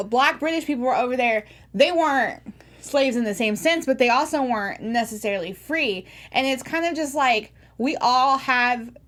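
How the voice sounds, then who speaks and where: A woman's voice: fairly high pitch, fairly bright tone. A woman co-hosting a TV recap show, speaking earnestly and building an argument with some fillers. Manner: earnest, argues a point with fillers. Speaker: co-host. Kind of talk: casual TV recap chat.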